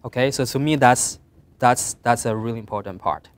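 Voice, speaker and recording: A middle-aged man with a Mandarin Chinese accent, speaking English as a second language, giving earnest career advice to design students, rising on key points and trailing off quietly at the ends of phrases. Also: medium pitch, moderate pace. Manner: earnest, plain advice with emphatic rises and trailing quiet endings. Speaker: guest speaker.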